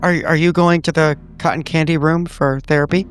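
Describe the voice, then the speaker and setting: A man's voice: fairly high pitch, steady tone, a little fast. A man taking part as a player in a tabletop roleplaying game, casually narrating what his character does.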